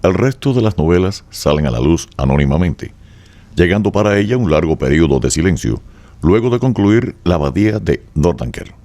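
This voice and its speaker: A man's voice: low-pitched, very animated, moderate pace, mellow. A man narrating a radio drama from a script, in a formal storytelling style.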